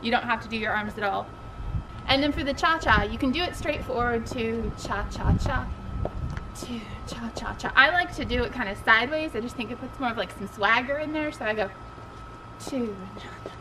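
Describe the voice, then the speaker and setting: A woman's voice: a little high, normal speed, background noise. A woman in her thirties teaching a line dance outdoors in a casual, bubbly way, laughing often and counting steps. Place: outdoors on a concrete pad in a grassy yard. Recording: outdoor video with loud music. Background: loud music.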